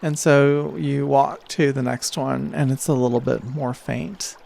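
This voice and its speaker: A man narrating a tabletop game as game master, improvising in a measured, halting way. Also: mid-range voice, gravelly. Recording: steady noise behind the voice.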